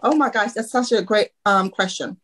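A woman's voice: mid-range voice, moderate pace. A woman in her thirties, born in Haiti and raised in Florida, a guest accountant telling her story with many "um"s over a video call from home. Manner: warm storytelling with frequent "um" and laughs. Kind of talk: casual podcast interview.

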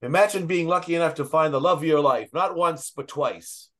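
Man acting joyful uncle, higher-pitched, toasting. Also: fairly quick, fairly bright tone, lightly acted. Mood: heartfelt. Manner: grandly toasting. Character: joyful, oblivious Italian-American uncle, newly engaged. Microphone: video call microphone.

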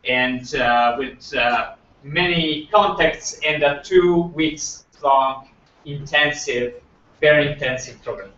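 A man hosting an event, introducing a speaker slowly and hesitantly with many fillers, recorded from afar in a lecture room. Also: a little high, a bit bright, a little hiss or hum. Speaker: event host. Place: large lecture room, indoors. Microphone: far camera. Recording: roomy echo, distant, dull lo-fi.

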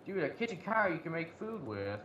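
A man's voice: fairly high pitch, very animated, brisk, pushed and bright. A man playing a video game, chatting casually in slang and swearing, blurting out reactions to what he finds. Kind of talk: casual co-op gameplay chat. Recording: muffled lo-fi mic, background noise.

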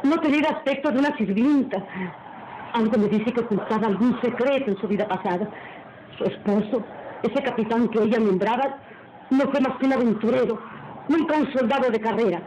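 A woman's voice: fairly high pitch, fairly quick, darkish tone, close to an everyday voice, poor audio. A woman playing a haughty, cold noblewoman in an old radio melodrama, imperious and formal. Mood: scornful, resentful. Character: haughty, cold noblewoman, wife and mistress of mansion. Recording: old radio recording, faint noise.

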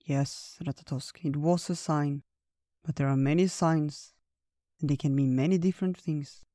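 A man voicing a kindly, wise old male bear who speaks gently and without hurry. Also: a little high, fairly even intonation, dry recording, clean studio sound, natural voice. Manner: gentle, unhurried, storytelling.

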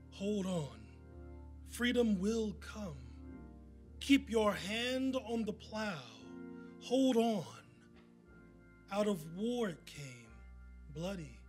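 Slow, high-voiced man reciting verse. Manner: emphatic, deliberate, dramatic pauses. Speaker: community reader at a podium. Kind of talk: dramatic poetry recitation. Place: indoor event room at a podium. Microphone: podium microphone on a stand. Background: faint background music.

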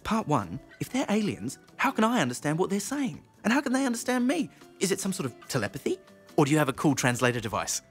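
A woman's voice: sits low, quick pace, faint music behind. A woman playing a naive, chatty young student sidekick, curious and awkward, in a comedy audio drama. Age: young adult. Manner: curious, rambling, deadpan asides.

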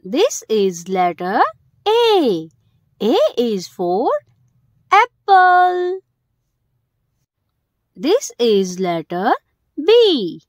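Very slow, high-pitched woman teaching kids. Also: wildly swooping pitch, no room sound, clean studio sound. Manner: simple short phrases with emphasized key words. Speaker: host of a children's alphabet video. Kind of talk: children's educational narration.

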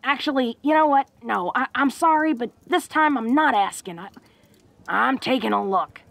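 A woman playing a tough but awkward, affectionate young orc woman in an intimate roleplay audio drama, talking in a folksy, halting way. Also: high voice, vocal fry, fairly bright tone, no echo. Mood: worried, protective. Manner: folksy, halting tough-girl talk with small chuckles.

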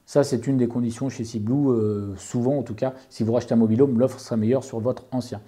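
A man's voice: medium pitch, steady tone, brisk, mellow. A man giving informal, methodical explanations and advice as a property owner, rephrasing as he goes. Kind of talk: informal explanatory talk, answering an interviewer.

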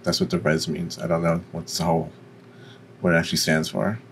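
A man with a deep voice giving an informal, explanatory software tutorial. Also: monotone, a little fast, slight background noise. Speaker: tutorial presenter.

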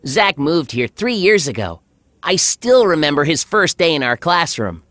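A performer playing an earnest, friendly schoolboy who narrates a children's animated story in a measured, clearly enunciated way. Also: medium pitch, a little hiss or hum. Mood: earnest storytelling.

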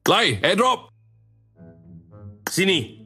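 A man's voice: high voice, sing-song, extremely slow, sharp tone, breathy edge, soft background music, heavily acted. A man playing a tough, bossy Malaysian gangster boss in dramatic game catchphrases, with a Malaysian accent. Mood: menacing, commanding.

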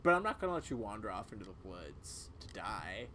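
A man voicing a protective, gently exasperated animal friend in casual video game dialogue. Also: lowish voice, big pitch swings, a little fast. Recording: clearly audible background noise.

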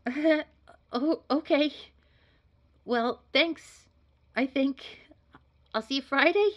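Woman acting a high-voiced, flustered young applicant. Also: slow, lo-fi sound. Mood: giddy. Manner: hesitant, gushing, nervously bubbly. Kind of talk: scripted audio roleplay acting.

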